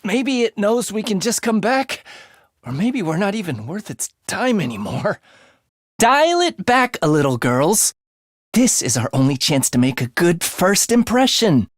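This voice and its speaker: A playful, murder-loving young assassin, swooping pitch. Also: male voice, very animated, unhurried, dry recording, clean studio sound, heavily acted. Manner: breezy, teasing slang turning breathy and theatrical. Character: playful, morbid young male assassin, gleefully murder-obsessed. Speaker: voice actor. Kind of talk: English video game voice acting.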